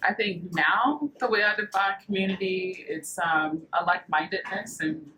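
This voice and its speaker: A woman's voice: medium pitch, normal speed. A woman who grew up in Georgia, answering interview questions thoughtfully and searching for words. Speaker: interviewee, cyclist. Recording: noisy location recording. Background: ambient noise.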